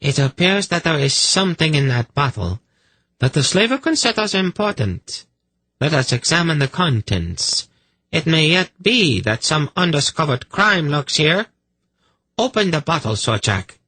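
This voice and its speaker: A shrill, high voice for a domineering priestess in a fantasy audiobook, put on by a man. She is pompous and relentless, giving orders in sweeping, theatrical tones. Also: sing-song, a little slow, bright, forceful tone, husky, dry recording, heavily acted, rough recording. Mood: triumphant. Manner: commanding, pompous. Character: imperious, relentless female priest, triumphant and shrill.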